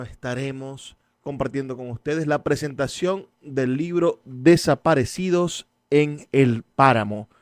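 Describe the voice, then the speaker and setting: A man's voice: mid-range voice, darkish tone, clean tone, no echo, studio quality. A man with a Venezuelan accent presenting and interviewing as a radio host, effusive and wordy, repeating words for emphasis.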